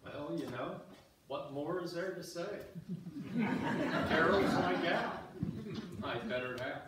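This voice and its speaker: Easygoing fisherman husband played by a man, live stage reading, unhurried, distant and noisy. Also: on the high side, a loud crowd. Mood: fond, easygoing. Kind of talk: readers theater monologue. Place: church sanctuary, before an audience. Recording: echoey church hall, distant, noisy.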